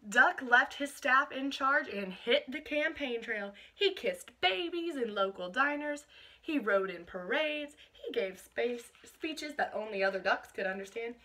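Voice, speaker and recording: A woman reading a picture book aloud to children as a teacher, expressive, with explanatory asides and questions for young listeners. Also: a little high, measured pace.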